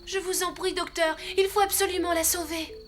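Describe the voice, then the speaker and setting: A woman's voice: extremely high pitch, level tone, brisk, soft background music. A woman voicing a kind, brave young heroine in a children's cartoon, speaking earnestly and sweetly. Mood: earnest, worried. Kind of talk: dubbed children's cartoon acting.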